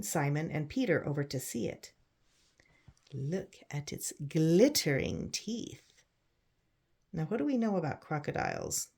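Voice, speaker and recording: A woman reads a children's picture book aloud, pausing to explain simply and put questions to her young listeners. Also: fairly low pitch, mellow, slightly rough. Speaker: read-aloud storyteller for children. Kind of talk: picture book read-aloud with chatty asides.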